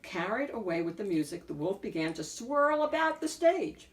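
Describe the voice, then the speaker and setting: A woman reading a picture book aloud as an expressive narrator, phrasing deliberately with emphatic swells. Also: mid-range voice, far from the mic. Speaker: picture book reader and host.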